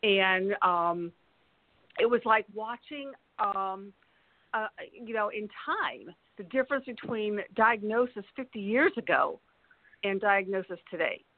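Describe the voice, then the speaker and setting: A woman in her sixties speaks as a podcast guest over a dry, narrow-band line. She tells her story in a halting way, often pausing partway through a sentence and picking it up again. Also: medium pitch, expressive, dry recording. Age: 60s. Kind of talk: personal storytelling in conversation.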